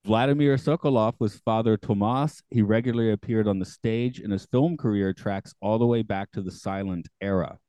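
A man hosting a podcast in casual chat, with a morning gravel in his voice, chatty and quick to laugh at himself. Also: fairly low pitch, mellow, no echo. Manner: chatty, self-deprecating, laughs often. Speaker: podcast host.

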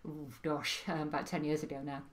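A middle-aged woman from Wales speaks as a podcast guest, her voice sitting very low for a woman. She talks in a thoughtful, wry manner, pausing and picking her words as she goes. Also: level tone, noisy background. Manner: thoughtful, wry, with frequent pauses and fillers. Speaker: podcast guest, practising witch. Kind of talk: casual interview chat.

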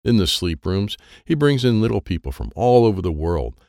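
A man voicing a pushy, matter-of-fact young girl who explains things briskly in a children's story. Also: low-pitched, sing-song, darkish tone, dry recording, studio quality.

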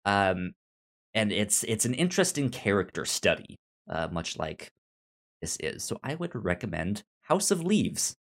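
A man's voice: fairly low pitch, very animated, unhurried, a bit bright. A man with a pronounced stutter, chatting casually as a podcast co-host about things he recommends. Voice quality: pronounced stutter, repeating sounds and syllables. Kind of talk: casual discussion and recommendations of books and shows.

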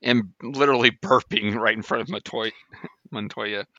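A man co-hosting a podcast, offering casual trivia commentary in a halting, explanatory way. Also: lowish voice, steady tone, pushed and bright, no room sound, muffled recording. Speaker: podcast co-host.

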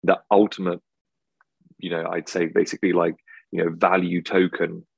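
A man's voice: low-pitched, little pitch movement, normal speed, creaky, breathy edge, no room sound. A man with an English accent answering interview questions as a hospitality tech founder, rambling with lots of filler words. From Czech Republic. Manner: rambling, heavy filler words like "basically" and "you know".

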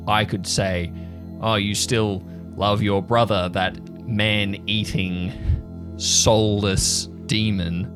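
Audio drama role, male actor: grave, embittered agent with a creaky low voice, measured delivery over music. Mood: resigned, conflicted. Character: bitter, haunted male agent raised by the agency since childhood. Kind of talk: improvised audio drama dialogue. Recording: music under the voice.

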